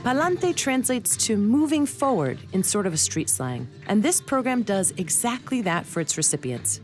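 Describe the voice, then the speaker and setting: A woman hosting a travel and dance show, reading a clear, informative scripted voice-over narration. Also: mid-range voice, sing-song, moderate pace, music in the background.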